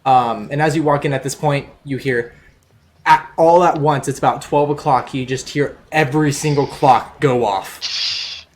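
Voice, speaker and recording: A man's voice: medium pitch, a little slow, slight background noise. A man narrating as game master over a voice call, rambling with many fillers and restarts. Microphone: headset over a voice call.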